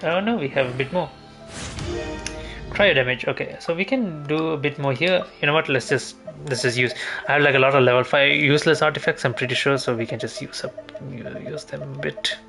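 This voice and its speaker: A man's voice: on the high side, wildly swooping pitch, brisk. A man giving casual gameplay commentary as a streamer, muttering to himself. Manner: muttering to himself, mild swearing. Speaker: gaming streamer. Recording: music playing behind the voice. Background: music.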